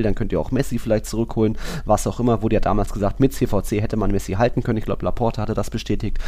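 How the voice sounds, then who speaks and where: A man's voice: lowish voice, darkish tone. A man talking casually as a football podcast co-host, thinking aloud in colloquial language.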